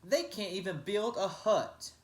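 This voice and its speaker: Man acting out gruff storybook grouch, growling, grumbling, lively raised pitch, roomy echo. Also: a little slow.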